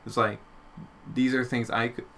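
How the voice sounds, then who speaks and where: A man's voice: medium pitch, little pitch movement, normal speed, vocal fry, fairly bright tone, a little hiss or hum. A man talking casually and conversationally as a podcast co-host. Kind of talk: casual chat.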